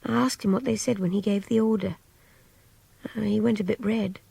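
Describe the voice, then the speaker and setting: A young English woman, reflective and idealistic, confides in a radio drama monologue. Her voice is husky and creaky, close and dry, often sinking towards a whisper. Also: mid-range voice, steady tone, normal speed, dark tone, no echo. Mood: musing, wry. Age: young adult.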